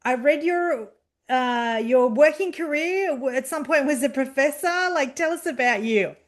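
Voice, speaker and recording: Woman host chatting with a guest, pretty high voice, casual interview style. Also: normal speed. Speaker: book show host.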